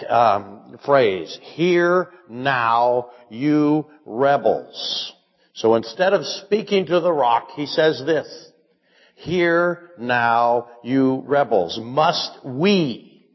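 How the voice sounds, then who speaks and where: A hoarse man who has nearly lost his voice, delivering a measured sermon lecture as pastor and posing rhetorical questions. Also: mid-range voice, big-room sound, dull audio. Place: church hall.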